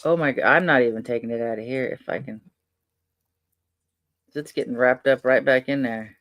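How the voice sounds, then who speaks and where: A middle-aged man with a soft voice, chatting casually while he unpacks and crafts. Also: mid-range voice, moderate pace, no echo, clean studio sound. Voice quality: soft-spoken. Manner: rambling, chatty, many fillers and restarts. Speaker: vlogger unboxing and crafting.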